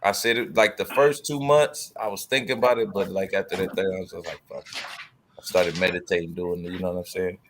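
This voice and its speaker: A man's voice: sits low, fairly even intonation, a little fast, background noise. A man on a casual panel debate, talking in a playful way. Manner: playful, laughs often. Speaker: panel member.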